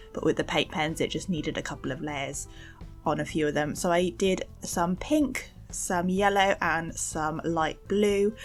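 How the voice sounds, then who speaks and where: A young woman giving a casual, chatty craft voice-over for an art channel, informal and gently self-deprecating. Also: on the low side. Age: young adult. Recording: music behind the voice. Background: faint background music.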